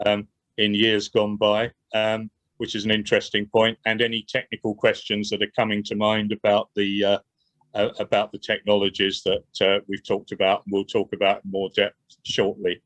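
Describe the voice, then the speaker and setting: A man moderating a panel discussion, measured, with frequent fillers. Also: on the low side, monotone, fairly bright tone, no echo, rough recording.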